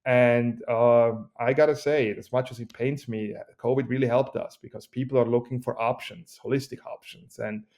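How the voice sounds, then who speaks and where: A man with a Swiss accent, a company CEO speaking as a guest in a podcast interview, with an energetic, joking pitchman delivery. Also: moderate pace, hardly any pauses. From Switzerland.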